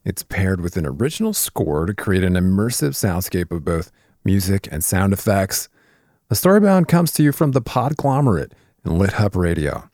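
A man's voice: deep voice, very animated, moderate pace, a little mellow, dry recording, studio quality. A man giving a scripted promotional read as a podcast announcer.

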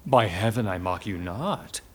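An actor playing a scheming, two-faced soldier villain in a Shakespearean tragedy, speaking in an insinuating, urgent, theatrical manner. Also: male voice, fairly low pitch, lively intonation, a little slow, slight background noise. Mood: coaxing, goading. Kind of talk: Shakespearean tragedy acting in modernized English.

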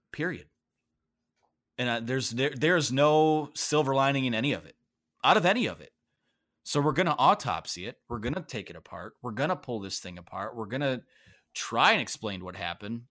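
Male sports podcast host, blunt and emphatic, mid-pitched voice. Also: a bit bright. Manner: emphatic, stern.

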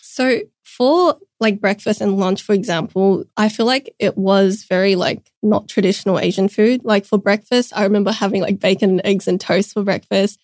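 A woman's voice: mid-range voice, fairly even intonation, normal speed, few pauses, a little mellow, no echo, studio quality. A young woman chatting upbeat as a podcast guest, with many casual fillers. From Australia. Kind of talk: casual interview chat.

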